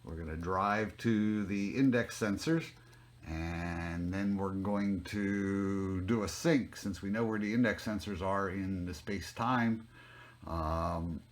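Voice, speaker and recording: A man's voice: deep voice, fairly even intonation, a little slow. A man giving an informal technical demo as a hobbyist, narrating step by step in a plain-spoken way. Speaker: hobbyist demonstrating a homemade telescope automation setup. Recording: noticeable background noise, homemade video.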